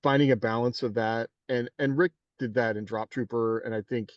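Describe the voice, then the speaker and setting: A man's voice: mid-range voice, fairly even intonation, moderate pace, no echo. Podcast co-host, rambling man, lo-fi sound from far off the mic. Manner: rambling, many fillers and restarts. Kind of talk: casual panel discussion. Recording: dull distant dry mic.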